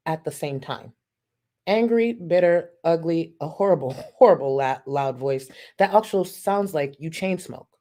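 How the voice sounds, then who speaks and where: A woman streamer with a lowish voice that swings widely in pitch as she reacts to a clip. She talks in a sassy, profane, mocking way and reads chat messages between jabs. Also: warm tone. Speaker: streamer reacting to videos, reading super chats. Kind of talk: casual livestream commentary.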